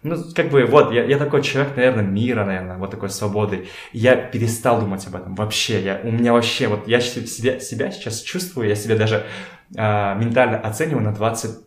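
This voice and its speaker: A man's voice: lowish voice, fairly quick. A man in his twenties chatting casually and informally, using slang, as a guest on a podcast. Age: 20s. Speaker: podcast guest, photographer and art director. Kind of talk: casual conversation. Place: room in a home. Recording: roomy home recording.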